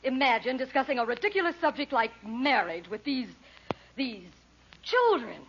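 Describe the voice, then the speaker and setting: High-voiced haughty matron. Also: female voice, very animated, normal speed, fairly bright tone, breathy edge, full character voice. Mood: haughty, disapproving. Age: middle-aged. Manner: prim, condescending. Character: haughty, disapproving society matron, wife and mother. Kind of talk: old-time radio comedy acting. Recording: dull, faintly noisy old radio recording.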